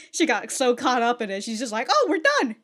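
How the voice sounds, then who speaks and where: High-voiced, giggly woman reacting casually. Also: a bit bright. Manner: giggly, often breaking into laughter. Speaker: reaction video host. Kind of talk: casual running reaction commentary.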